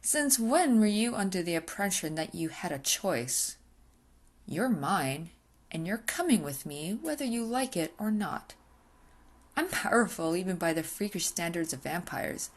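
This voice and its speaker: A woman's voice: lowish voice, very animated, a little slow. A woman playing an obsessive, possessive female vampire in an intimate ASMR roleplay, often dropping into whispers, hungry and coolly domineering. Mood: condescending, menacing.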